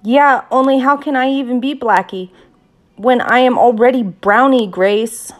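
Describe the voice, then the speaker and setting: A woman voicing a loud, bossy, dramatic kindergarten girl in a children's audiobook, exclaiming emphatically and repeating herself. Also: a little high, a little fast, voice pushed up, lightly acted. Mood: indignant.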